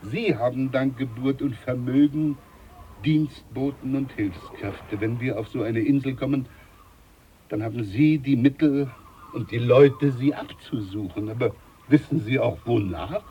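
A man's voice: medium pitch, measured pace, lo-fi sound, slight background noise, lightly acted. A man playing a jovial, scheming older ship's doctor in a German radio play, pompous, overfamiliar and emphatic. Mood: confiding, self-important.

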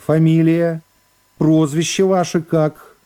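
Presiding judge, radio drama, male reader. Soft-spoken, courteous, official, with a mellow tone. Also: on the high side, level tone, slow pace, smooth. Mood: courteous, formal. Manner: soft, affable, formal questioning.

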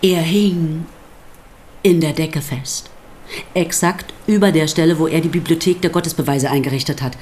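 An actress playing a sardonic, defiant pastor's wife in a radio drama, speaking with provocative, theatrical emphasis. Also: female voice, sits low, moderate pace, darkish tone, slight background noise, close to an everyday voice. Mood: dry, sarcastic. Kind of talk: German radio drama acting.

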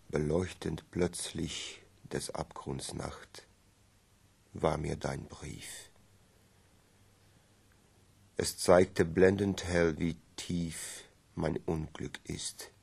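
Grave man reciting dark poems in a radio play, slow, extremely husky, solemn. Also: deep voice, steady tone, full character voice. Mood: anguished. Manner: solemn, brooding. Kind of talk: radio play acting, dramatic verse recitation.

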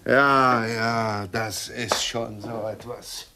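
Weary husband, mid-pitched voice. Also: male voice, steady tone, extremely slow, noisy background. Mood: tired, suspicious. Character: weary, talkative, suspicious husband in a crime thriller. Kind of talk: 1960s German radio play acting.

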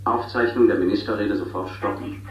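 An actor in a 1970s radio drama, playing a curt official making studio loudspeaker announcements. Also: male voice, lowish voice, brisk, recorded from a distance, a little hiss or hum, natural voice. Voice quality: flat, clipped, official. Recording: dull, echoey loudspeaker sound from afar.

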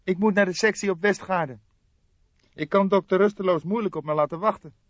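A man's voice: high-pitched, a little fast, no echo, lo-fi sound, voice pushed up. A man voicing an exasperated younger police detective, a questioning sidekick in an audiobook detective story. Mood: reluctant. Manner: shocked, sighing, protesting.

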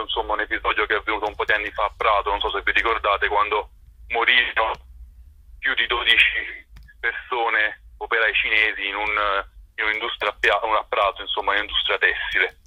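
A man's voice: on the low side, slightly rough. A man, a theatre director being interviewed, explaining things spontaneously in a discursive, enthusiastic way. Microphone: telephone. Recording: muffled, roomy telephone line on radio.

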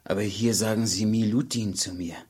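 Lowish, weary tavern singer. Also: male voice, fairly even intonation, normal speed, darkish tone, husky. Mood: weary, gentle. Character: weary, kindly tavern singer and self-confessed sinner. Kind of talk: radio play acting.

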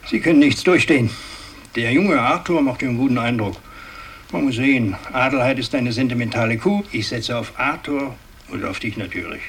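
An actor playing an imperious, scheming old aristocratic patriarch in a radio drama, declamatory and halting, moving between command and muttering. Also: male voice, medium pitch, fairly bright tone, breathy edge. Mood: probing, uneasy. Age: older. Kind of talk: 1960s German radio drama acting.

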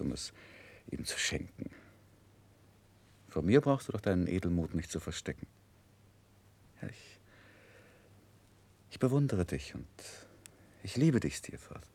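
A man's voice: deep voice, sing-song, unhurried, creaky, long pauses, a little mellow, heavily acted. An actor playing an earnest, admiring young man, a devoted friend, in a 1960s German radio drama.

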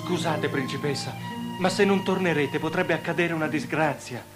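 An actor playing a deferential, uneasy young captain, courteous and earnest, in a stylized radio drama. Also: male voice, fairly high pitch, normal speed, music in the background, lightly acted. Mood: anxious courtesy.

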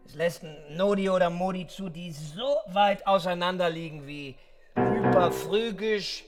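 A young intellectual, drunk and pompous, for a radio play. The voice is high for a man and slow, halting and declamatory as he philosophises. Piano music sounds loudly behind him. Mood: musing, pedantic.

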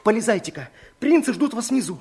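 A performer playing a resourceful, loyal young gardener in a storybook audio play, brisk and reassuring with a cheeky streak. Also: medium pitch, big pitch swings, darkish tone, slightly rough. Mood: purposeful. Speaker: actor in an audio fairy-tale play. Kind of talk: theatrical storybook radio play acting.

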